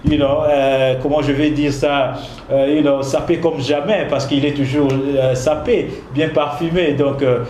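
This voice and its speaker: A man's voice: fairly high pitch, fairly even intonation, a little slow, a little mellow. A man hosting a community interview show, talking in a hesitant way, repeating words and slipping in English phrases. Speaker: host. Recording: echoey room with some noise.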